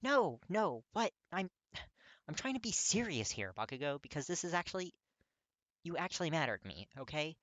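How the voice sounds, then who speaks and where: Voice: medium pitch, sing-song, moderate pace, a bit bright, no room sound, pitched above the performer's own voice. A performer voicing a desperate, earnest teenage boy who stammers and pleads with a breaking, tearful voice. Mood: tearful pleading. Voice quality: raspy.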